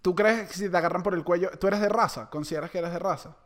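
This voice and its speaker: A man's voice: high-pitched, fast talker. A young Venezuelan man co-hosting a podcast, teasing his partner and laughing often in casual chat. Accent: Venezuelan Spanish. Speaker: podcast co-host.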